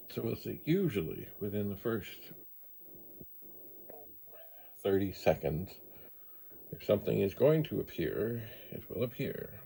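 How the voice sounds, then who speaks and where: Slow, low-voiced man thinking aloud. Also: vocal fry, warm tone. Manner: unhurried, thinking aloud in short broken phrases. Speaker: host of a retro computer channel. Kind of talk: casual hands-on demo narration to camera. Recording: faint background noise.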